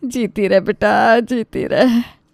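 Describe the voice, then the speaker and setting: A woman voicing a doting, easily impressed middle-aged in-law in an animated family story, gushing with praise. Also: mid-range voice, takes it slowly, creaky, no echo, studio quality. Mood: gushing, admiring. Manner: gushing, exclamatory, affectionate.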